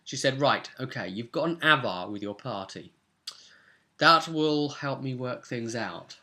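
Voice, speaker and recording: Young male game master, bright forceful tone, mid pitch, informal session recap. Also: expressive, measured pace. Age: young adult. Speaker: game master and vidcast host. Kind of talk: casual recap of a tabletop roleplay session. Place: small room at home, indoors.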